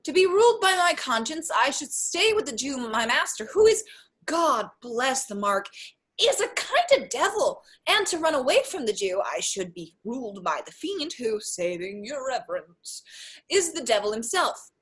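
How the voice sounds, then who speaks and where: Woman playing a high, dithering young servant clown. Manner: halting, animated, playful. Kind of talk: theatrical Shakespeare reading.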